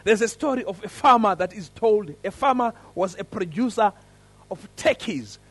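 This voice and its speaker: A man with an African accent preaches in a church service, his voice husky and fairly high. He tells his story with lively swings of pitch and earnest emphasis, building on key words. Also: normal speed, dry recording. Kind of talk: sermon with prayer and story.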